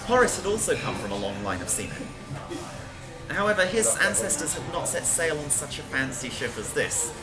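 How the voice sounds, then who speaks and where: Lively low-pitched woman reading over loud music. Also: moderate pace, rough recording. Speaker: reader. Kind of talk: scripted narration, story reading aloud.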